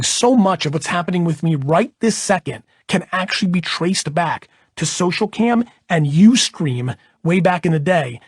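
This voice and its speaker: A man's voice: a little high, slightly rough, dry recording, studio quality. A man reading an audiobook in a blunt, emphatic, informal way, with conversational asides. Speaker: audiobook narrator.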